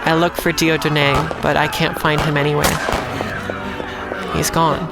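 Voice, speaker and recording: A woman's voice: sits low, flat delivery, measured pace. A woman playing a defiant young street fighter who tells their story in a noir audio drama. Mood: somber, intense. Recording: dramatic music behind.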